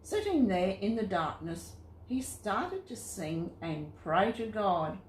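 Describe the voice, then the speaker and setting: A woman narrates Bible stories for young children. She goes slowly and keeps the telling simple and expressive. The recording has a noticeable room echo around her voice. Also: medium pitch, a little hiss or hum. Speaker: storyteller for children. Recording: roomy, echoing sound.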